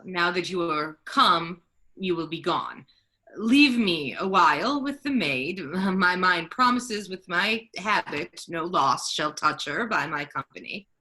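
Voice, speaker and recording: A woman playing a disguised duke posing as a grave, counseling friar, in measured, formal Shakespearean verse. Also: fairly low pitch, animated, sharp tone, exaggerated voice. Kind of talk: staged reading of Shakespeare.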